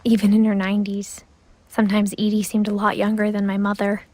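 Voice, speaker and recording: An actress narrating a video game as a wistful young woman recalling her family's past, soft and often close to a whisper. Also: mid-range voice, monotone, a little fast, natural voice. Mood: reflective. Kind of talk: scripted narration. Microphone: studio voice-over.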